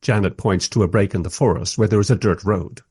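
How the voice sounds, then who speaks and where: A man's voice: fairly low pitch, fairly quick, darkish tone, husky. An actor playing a wry, skeptical man who narrates his own adventure in a radio play, with a dry storytelling manner.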